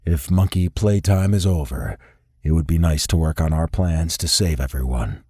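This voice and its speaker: A man reading an audiobook, voicing a stern, feared male boss in a curt, gruff voice. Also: very deep voice, moderate pace, darkish tone, no room sound, studio quality, exaggerated voice.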